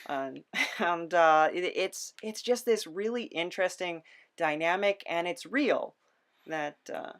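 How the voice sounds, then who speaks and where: A podcast host chatting casually in an interview, in a halting, musing way. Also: mid-range voice, animated, fairly bright tone.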